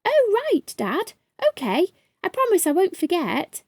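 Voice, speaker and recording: A woman's voice: extremely high pitch, huge pitch swings, measured pace, dry recording, clean studio sound, voice pushed up, exaggerated voice. A woman voicing an earnest young boy in a storybook, easily awed and full of eager questions. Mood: excited, earnest.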